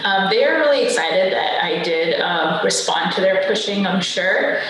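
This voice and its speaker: A young woman, a software engineer and guest, explaining things in a casual interview with frequent "um" fillers; her home language is Hindi. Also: medium pitch, expressive, normal speed, echoey room, a little hiss or hum, rough recording. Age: young adult.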